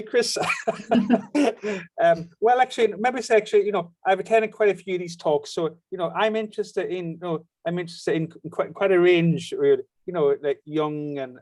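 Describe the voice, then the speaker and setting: High-voiced giggly man introducing himself. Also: a little mellow. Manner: giggly, hesitant. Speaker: seminar participant. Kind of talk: self-introduction on a call. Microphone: video call.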